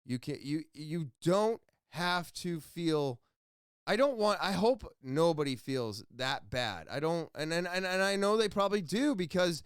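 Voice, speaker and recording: A man's voice: fairly high pitch, expressive, measured pace, smooth, no echo, clean studio sound. A man hosting his own podcast, talking alone in a casual, earnest and rambling way, blunt and swearing freely. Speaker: podcast host. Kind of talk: casual solo podcast monologue.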